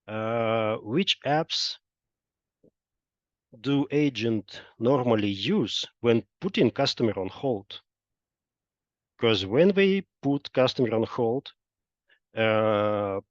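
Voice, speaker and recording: Slow, halting man presenting in a roomy space. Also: mid-range voice. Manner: halting, explanatory, frequent pauses and hesitations. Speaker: software company presenter. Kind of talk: webinar product presentation. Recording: dull lo-fi roomy online webinar audio.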